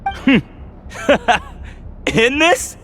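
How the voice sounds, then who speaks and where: An actor playing an embittered, weary experimenter in a video game, suspicious and wounded, speaking in a halting, dramatic way. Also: male voice, very high voice, sing-song, a bit bright, a little hiss or hum, full character voice. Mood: bitter. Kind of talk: video game character acting. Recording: long reverb with music behind.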